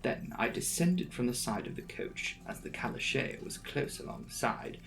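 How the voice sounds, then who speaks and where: A man's voice: mid-range voice, lively intonation, normal speed, gravelly, fairly bright tone. A man giving a dramatic audiobook reading of a gothic novel. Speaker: audiobook reader. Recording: music behind the voice. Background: background music.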